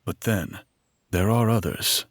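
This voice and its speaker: A man playing a knowledgeable, wary minstrel guide in a fantasy audio drama, measured and explanatory. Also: on the low side, no room sound, studio quality. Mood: uneasy.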